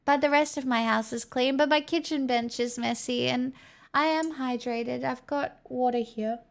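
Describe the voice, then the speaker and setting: A woman's voice: high-pitched, steady tone, moderate pace, gravelly. A young Australian woman chatting casually and frankly on a live stream, rambling to her viewers; she says her voice feels strained. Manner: rambling, frank, talks to chat.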